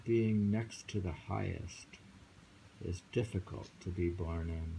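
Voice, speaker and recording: A man's voice: fairly low pitch, vocal fry, warm tone, a little hiss or hum, poor audio. A man reading poems aloud and musing on them in casual chat, with frequent thoughtful hums. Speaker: poetry reader and host.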